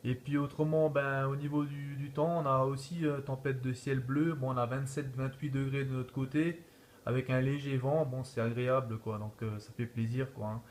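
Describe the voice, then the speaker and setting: A man's voice: mid-range voice, level tone, moderate pace, a little hiss or hum. A man chatting casually as an amateur radio operator, in an easygoing way with frequent repeated tag words. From France. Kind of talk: casual radio contact chat.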